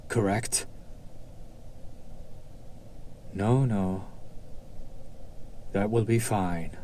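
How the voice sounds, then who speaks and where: A man playing a haughty, coolly amused demon buyer in a roleplay audio drama, cultured and menacing, with a deliberate, condescending, taunting delivery. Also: lowish voice, dark tone, a little hiss or hum, low-quality recording. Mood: cool, businesslike.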